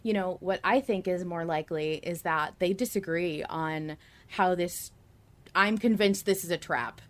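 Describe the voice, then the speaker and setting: A woman with a slightly low voice co-hosts a casual fan podcast. She talks in an informal, animated way, working out her ideas as she goes. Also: a little slow. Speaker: podcast co-host. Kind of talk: casual fan discussion chat.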